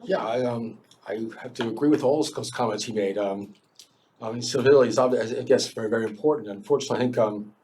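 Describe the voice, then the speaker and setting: A man giving a measured, slightly halting prepared statement as a town board candidate at a local debate. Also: medium pitch, darkish tone, slight background noise.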